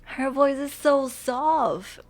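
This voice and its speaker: A woman's voice: high-pitched, slow. A woman chatting casually off script as an amateur dubber, self-conscious and thinking aloud. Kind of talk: casual off-script chat between takes.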